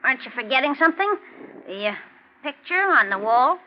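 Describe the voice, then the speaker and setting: A man's voice: very high voice, very animated, brisk. An actor playing a bossy, protective little man with a brusque, teasing manner in a 1940s radio drama. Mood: nagging, fond. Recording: dull, noisy 1940s radio recording.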